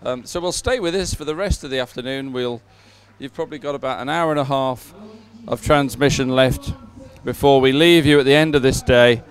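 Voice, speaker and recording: A man commentating live at a sporting event and reading out results in a hesitant, halting way. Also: medium pitch, clean tone.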